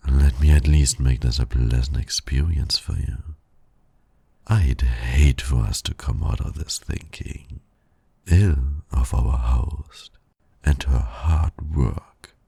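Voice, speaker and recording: A man playing a suave, flirtatious villain who teases and courts his nemesis in an intimate ASMR roleplay, with a husky, gravelly, breathy voice. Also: extremely low pitch, no echo, exaggerated voice. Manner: slow, breathy, suave teasing with soft chuckles.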